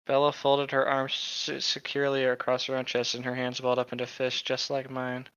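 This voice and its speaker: A man's voice: medium pitch, completely flat delivery, a little fast, a bit bright, clean tone. A man co-hosting, moving between casual banter and reading aloud, on a slightly lo-fi microphone. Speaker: co-host reading a novel aloud. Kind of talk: casual joking banter and read-aloud narration. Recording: slightly dull, lo-fi mic.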